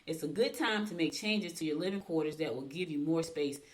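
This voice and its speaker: A woman with a slightly low voice hosts a radio segment. She reads horoscopes and adds casual advice. Her delivery is blunt and emphatic, and she repeats key points to drive them home. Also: a little fast. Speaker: radio host.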